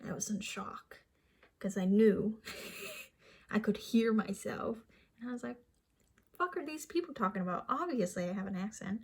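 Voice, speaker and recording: A young woman with a light Vietnamese accent talks to the camera in an easy, rambling way and often restarts her sentences. Her voice is slightly breathy and husky, at an ordinary middle pitch. She sounds self-deprecating and casual. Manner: chatty, self-deprecating, with frequent restarts and small laughs. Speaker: vlogger.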